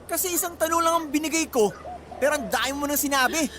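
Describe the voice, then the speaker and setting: An actor playing a jealous, abusive young boyfriend in a radio drama, with an accusing, sarcastic and menacing manner. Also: male voice, extremely high pitch, quick pace, noisy background. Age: young adult.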